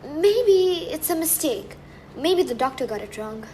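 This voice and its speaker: Teen girl playing a high, earnest, naive factory girl. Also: very animated, unhurried, darkish tone, slight background noise. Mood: worried, defensive. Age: teenage high school student. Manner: earnest, questioning. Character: naive, kind-hearted young 1920s factory girl. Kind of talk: radio drama acting.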